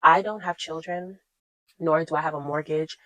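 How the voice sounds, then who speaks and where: A woman's voice: sits low, fairly even intonation, normal speed, fairly bright tone, no room sound, low-quality recording. A woman in her twenties talking casually to her viewers as a vlogger, encouraging and emphatic, repeating her points for stress. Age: 20s. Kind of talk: casual chat to camera.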